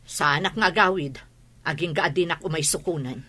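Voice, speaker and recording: A woman's voice: deep voice, fairly quick, fairly bright tone. A woman with an Ilocano accent playing a stubborn, aggrieved grown daughter and wife in an audio drama. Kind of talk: Ilocano radio drama acting.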